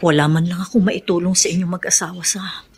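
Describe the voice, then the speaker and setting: Husky, meek Filipino housewife. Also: female voice, fairly low pitch, steady tone, fast. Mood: apologetic, hushed. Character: meek, concerned sister-in-law and patient housewife. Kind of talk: Filipino radio drama acting.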